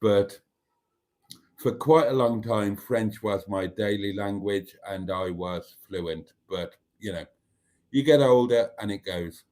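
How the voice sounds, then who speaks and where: Slow, low-voiced older Welsh-born man chatting. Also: a little mellow. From Wales. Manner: chatty, rambling, wry asides. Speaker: livestream host. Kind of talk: casual live chat reading comments.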